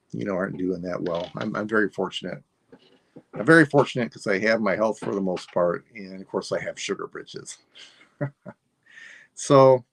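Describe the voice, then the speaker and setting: A man of sixty-five, hosting a live sale and signing off in a relaxed, rambling way while losing his voice. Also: on the low side, gravelly, no echo. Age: 60s. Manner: relaxed, rambling, self-deprecating. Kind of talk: casual live stream sign-off.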